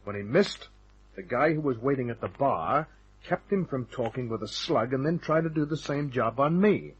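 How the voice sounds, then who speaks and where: An actor playing a tough, sardonic hardboiled private detective in a radio drama, with clipped narration and dialogue. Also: male voice, medium pitch, lively intonation, moderate pace, husky, dull audio.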